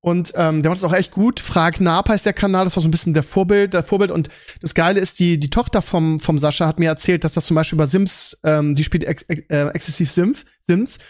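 A man's voice: a little high, fairly even intonation, brisk, a little mellow. A man with a German accent chatting casually as a podcast host about his things, rambling a little and repeating phrases. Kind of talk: casual gaming podcast chat.

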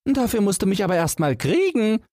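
Man as a high-pitched, cheeky young hero. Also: sing-song, brisk, a little mellow, no room sound, clean studio sound. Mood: teasing. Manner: light, teasing, courteous. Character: cheeky, fearless young male giant-slayer, politely mocking. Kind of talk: fairy-tale audiobook character.

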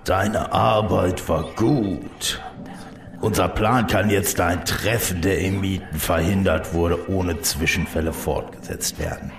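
A man playing a powerful, cold, shapeless alien mastermind who speaks in measured, formal words in a sci-fi audio drama. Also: low-pitched, fairly even intonation, gravelly. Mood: cold, satisfied. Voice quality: powerful, strong. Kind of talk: German science-fiction audio drama. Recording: echoing, roomy voice.

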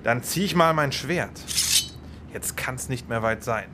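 A man's voice: medium pitch, unhurried, bright, forceful tone, slight background noise. A man playing a young, cheerfully naive knight in a fairy-tale audio drama, earnest and matter-of-fact. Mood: chatty, resolute. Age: young adult.

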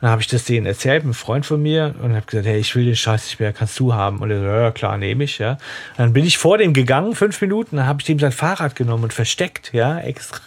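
A man's voice: medium pitch, lively intonation, quick pace, breathy edge. A man from Swabia, co-hosting a podcast, telling rambling anecdotes in casual chat. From Swabia, southwest Germany. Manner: rambling storyteller, many restarts. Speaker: podcast co-host.

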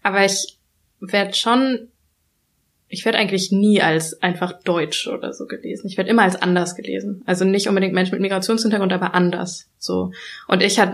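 A young woman talking reflectively in a casual conversation, pausing often with fillers and restarting her sentences. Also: lowish voice. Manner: thoughtful, many fillers and restarts, laughs when quoting others.

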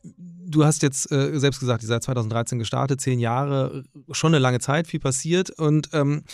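A man hosting an interview podcast, his voice a little gravelly at a middle pitch. He builds his questions slowly, searching for words with plenty of fillers. The recording is dry and close. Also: darkish tone, no room sound. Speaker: podcast host.

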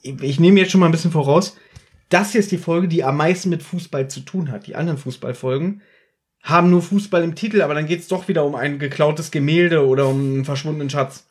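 A man's voice: on the high side, fast. A man chatting casually as a podcast host, with a teasing manner. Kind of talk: casual chat.

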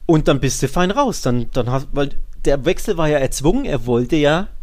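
A man's voice: fairly high pitch, animated, normal speed, a little mellow. A man co-hosting a football podcast in a casual, colloquial way, giving emphatic opinions. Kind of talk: casual sports discussion.

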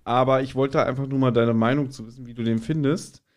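A man's voice: medium pitch, fairly even intonation, brisk. A man chatting casually as a podcast co-host, recapping a story and often breaking into amused asides. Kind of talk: casual chat recapping and reviewing an audio drama.

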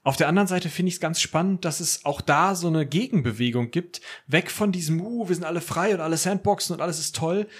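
A man's voice: on the high side, fast talker, few pauses, husky. A man talking casually as a podcast guest, in a loose, colloquial manner. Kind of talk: casual chat.

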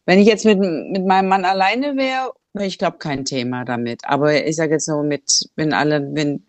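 Low-voiced German woman, chatty host. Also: moderate pace, no room sound. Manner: chatty, thinks aloud with many fillers. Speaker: podcast host. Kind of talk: casual conversation. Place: Cambodia, living abroad.

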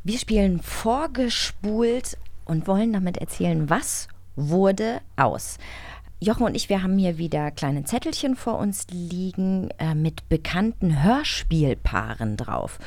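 A woman co-hosting a show in relaxed, informal, colloquial chat. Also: on the low side, measured pace, no echo. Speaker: co-host of an audio drama magazine. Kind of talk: casual chat.

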